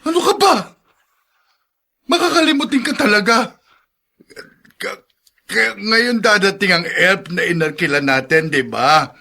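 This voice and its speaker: A man's voice: high voice, expressive, measured pace, creaky, long pauses, sharp tone, heavily acted. A man playing an exasperated, blaming husband in a radio drama. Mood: complaining.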